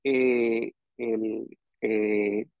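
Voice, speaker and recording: A man in his forties with a Cuban accent, telling his story casually as an interview guest and often pausing to search for words. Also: on the low side, little pitch movement, no echo. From Cuba, raised partly in Costa Rica and Miami. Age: 40s. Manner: hesitant, searching for words with long pauses. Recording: dull, band-limited dry audio.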